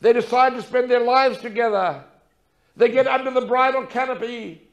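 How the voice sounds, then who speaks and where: A man's voice: very high voice, moderate pace, dull audio. An older man telling stories as a lecture, in a dramatic, emphatic manner with rhetorical questions and repetition. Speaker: speaker telling a personal story. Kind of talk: storytelling lecture to an audience.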